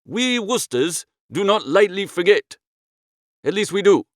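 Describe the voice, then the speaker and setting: A man narrating a comic first-person story in an expressive, breezy and theatrical way. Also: sits high, unhurried, dry recording, clean studio sound. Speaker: audiobook narrator.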